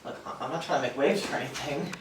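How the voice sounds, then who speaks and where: An actor playing an awkward young newcomer, halting and apologetic, in a stage play. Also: male voice, mid-range voice, close to an everyday voice. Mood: defensive. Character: awkward, starstruck young male show newcomer. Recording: distant, echoey stage recording, faint noise.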